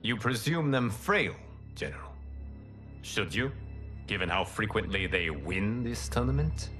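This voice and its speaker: An actor playing a wise, godlike lord and mentor in a fantasy game, calm, measured and formal. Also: male voice, lowish voice, soft background music. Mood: firm. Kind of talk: cinematic fantasy game acting.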